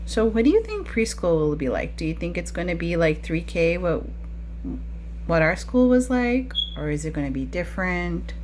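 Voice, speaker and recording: A woman's voice: fairly low pitch, very animated, moderate pace, darkish tone, slight background noise. A woman preschool teacher talking to young children during a picture-book read-aloud, with frequent questions in a teacherly way.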